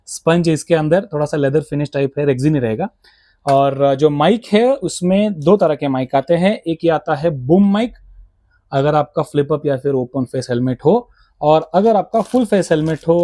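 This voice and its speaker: An Indian man gives a detailed, conversational product explanation as a shop seller. His voice sits a little high with a soft, dark tone, and it is recorded dry, with hardly any echo. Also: normal speed. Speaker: motorcycle gear shop seller. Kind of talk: conversational product explanation in mixed Hindi and English.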